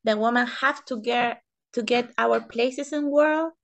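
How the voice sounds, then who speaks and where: A woman from Chile with a Chilean Spanish accent, giving interview answers in halting non-native English and searching for words. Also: on the high side, vocal fry. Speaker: interview guest, economist and feminist.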